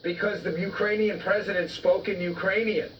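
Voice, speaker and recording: Male news pundit, high register, emphatic debater. Muffled audio with faint noise. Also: steady tone, moderate pace, a bit bright. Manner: emphatic, argumentative. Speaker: political commentator, guest. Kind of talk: live news interview. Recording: muffled, distant-sounding, faint background noise.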